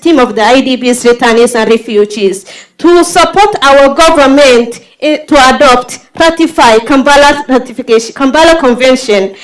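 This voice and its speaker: Brief: woman from Somalia, audience speaker, earnest plea, higher voice. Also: poor audio. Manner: pleading, listing points, hesitant fillers. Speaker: audience member. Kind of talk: conference floor appeal. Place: conference hall.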